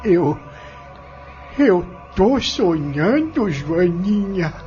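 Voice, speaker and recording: Slow, humble farm labourer. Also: male voice, sits high, big pitch swings, full character voice. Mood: awed, tender. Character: humble old farm labourer, gentle, devout, moved. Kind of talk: radio drama acting. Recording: dull lo-fi radio recording with music.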